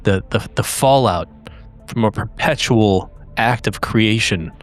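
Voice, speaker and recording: A man playing a tabletop roleplaying game, speaking casually in a halting, wordy, erudite way. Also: lowish voice. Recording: music bed behind the voice.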